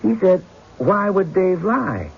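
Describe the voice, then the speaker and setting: An actor playing a worried, weary accused husband in a radio drama, with a husky, gravelly voice. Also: male voice, high-pitched, big pitch swings, takes it slowly, heavily acted. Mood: troubled, earnest. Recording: dull old radio broadcast.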